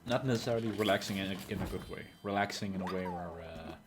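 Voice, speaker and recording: A man streaming a game live, talking in a dry, sarcastic way with lots of halting "uh"s. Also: low voice. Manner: dry, sarcastic, full of filler "uh"s. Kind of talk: casual live gameplay commentary.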